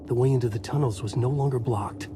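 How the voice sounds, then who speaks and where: An actor playing a brooding, desperate male crime writer, narrating his own nightmare in deliberate first-person noir style in a game. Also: medium pitch, over music, close to an everyday voice.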